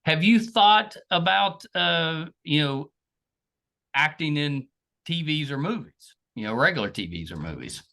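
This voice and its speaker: A man hosts a casual interview. His voice is bright and forward, with lively swings in pitch. He puts questions and remarks in an informal, conversational way. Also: unhurried, creaky. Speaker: podcast interviewer.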